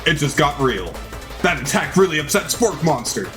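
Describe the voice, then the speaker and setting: A man's voice: a little high, very animated, normal speed. Theatrical man narrating a game, music behind. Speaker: gamer reading out a dating simulator.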